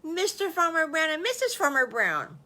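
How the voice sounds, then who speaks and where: An older woman voicing a sweet, excitable young boy wolf in a children's story, eager and childlike, repeating himself. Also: medium pitch, measured pace. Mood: happy.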